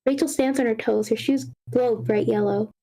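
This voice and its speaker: Higher-pitched woman reading screenplay narration. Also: steady tone, normal speed, warm tone. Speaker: table read narrator. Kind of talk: reading screenplay action lines aloud.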